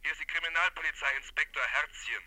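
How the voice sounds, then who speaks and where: A man's voice: fairly high pitch, fast talker, pushed and bright. A male actor playing a patient, official police inspector heard over a telephone line in a radio crime drama. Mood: curt, official.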